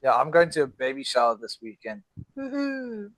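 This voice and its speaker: A man in his thirties co-hosting a podcast chat, halting and restarting, laughing often. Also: on the high side, big pitch swings, fairly bright tone, no echo. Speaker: podcast co-host. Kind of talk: casual chat over a video call. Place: at home in South Africa.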